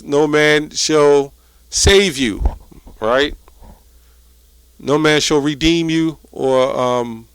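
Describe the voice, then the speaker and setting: A man's voice: medium pitch, a bit bright, clean tone, no echo, low-quality recording. Man preaching informally, close dry sound. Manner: colloquial, with rhetorical check-ins like "right?". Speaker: religious teacher. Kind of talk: informal preaching and scripture commentary.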